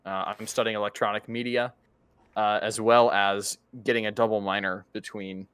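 A 22-year-old man co-hosting a podcast, talking in an animated, chatty way and often correcting himself mid-sentence. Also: on the low side, normal speed, smooth, no echo. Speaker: podcast co-host. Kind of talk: casual chat.

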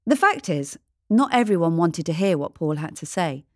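A woman reading a scripted devotional in a measured, reflective manner. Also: lowish voice, big pitch swings, darkish tone, no echo, studio quality. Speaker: devotional reader.

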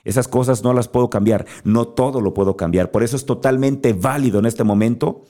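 A man's voice: medium pitch, fairly quick, mellow. A Mexican man hosting a podcast, giving an earnest motivational monologue straight to the listener. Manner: earnest, speaks directly to the listener. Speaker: podcast host.

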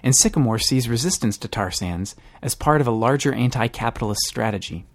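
A man's voice: medium pitch, animated, a little fast. A man hosting a podcast, reading scripted news narration. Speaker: podcast host.

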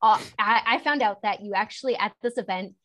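A woman in her thirties, a guest entrepreneur, telling stories in a casual interview, chatty with many fillers and animated retellings. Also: mid-range voice, brisk. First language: English.

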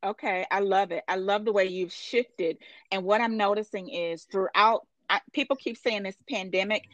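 A woman host hypes up her guest with affirming, slangy enthusiasm. Her pitch is mid-range, and the recording sounds dry and dull, with a lo-fi edge. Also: moderate pace, a bit bright, dry recording. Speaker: interview host. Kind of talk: enthusiastic interview hosting.

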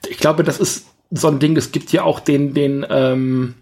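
A man's voice: on the high side, fairly even intonation, unhurried, a little mellow. A man co-hosting a podcast, chatting casually about films and thinking aloud as he rambles. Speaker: podcast co-host. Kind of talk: casual film review chat.

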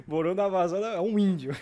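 A man's voice: high-pitched, normal speed, dark tone. Casual northeastern Brazilian man, slangy talker. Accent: northeastern Brazilian (Ceará). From Ceará, northeast Brazil. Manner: slangy, repeats phrases for emphasis. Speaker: podcast guest, YouTuber and gamer. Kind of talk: casual chat, telling anecdotes.

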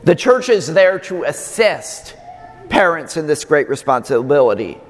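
Slow-preaching man, slightly raspy. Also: fairly high pitch, big pitch swings, slight background noise. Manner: slow, measured preaching with emphatic pauses. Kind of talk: sermon. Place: large church. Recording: long church reverb.

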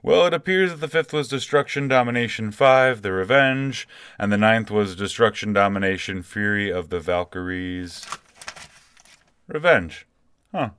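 A man's voice: on the low side, normal speed, fairly bright tone, smooth, natural voice. A man playing a callous, smug, nonchalant company boss in a comic audio drama. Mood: matter-of-fact, obtuse. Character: callous, self-satisfied male video game company CEO.

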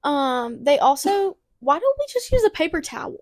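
A laid-back young woman who talks in easy slang. Her voice sits high in her range. The recording is dry and close. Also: sing-song, moderate pace, no room sound. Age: young adult. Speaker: co-host. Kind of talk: casual chat.

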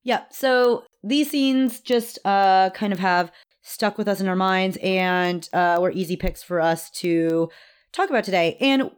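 A woman's voice: mid-range voice, clean tone. Young woman co-hosting, teasing and joking. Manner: joking, teasing, quick to laugh. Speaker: podcast co-host. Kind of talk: casual chat.

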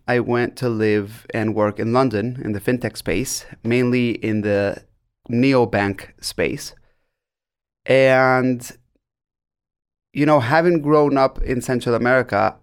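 A young man from Guatemala, a podcast guest, talking in a relaxed, chatty way full of fillers as he tells stories. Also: medium pitch, clear, resonant tone. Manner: relaxed storyteller, many likes and you knows. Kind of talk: conversational interview answers.

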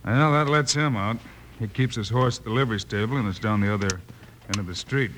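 A man playing a steady, commanding Old West marshal in a radio drama, with clipped delivery. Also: lowish voice, a little fast, close to an everyday voice. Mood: watchful. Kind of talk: 1950s western radio acting. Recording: noisy old-time radio recording.

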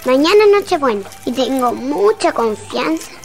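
Radio drama: devout, hopeful Chilean daughter, very young, high and unhurried, faint music behind. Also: female voice, sing-song. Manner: tender, earnest.

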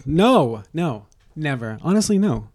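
A man's voice: a little high, very animated, unhurried, darkish tone, no room sound, clean studio sound. A man co-hosting a podcast, tossing out sarcastic, profane wisecracks in casual chat. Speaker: podcast co-host.

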